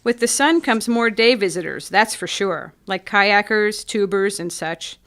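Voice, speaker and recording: A woman acts out a gracious small-town café owner in a radio play. She speaks at a middling female pitch, in measured, descriptive phrases with a poetic turn. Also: fairly bright tone. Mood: reflective. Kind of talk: scripted community radio theatre acting.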